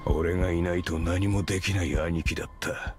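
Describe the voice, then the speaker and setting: A man's voice: deep voice, fairly even intonation, normal speed, natural voice. An actor playing a cold, vengeful hunter grieving his brother in a dramatic anime game cutscene. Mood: brooding. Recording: loud music behind.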